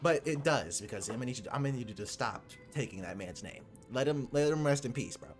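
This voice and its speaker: Animated young man doing game commentary. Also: mid-range voice, quick pace, over music. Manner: slangy, reactive, mock-outraged. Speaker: gaming video creator. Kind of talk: casual gameplay commentary.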